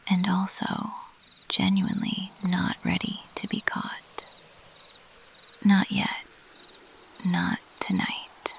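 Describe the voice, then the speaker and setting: A woman voicing a mischievous, playfully elusive bat monster girl in a teasing, intimate, breathy whisper for an ASMR roleplay. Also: mid-range voice, completely flat delivery. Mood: teasing, admiring. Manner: slow, teasing, intimate, lingering on pauses. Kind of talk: ASMR roleplay, addressed to the listener.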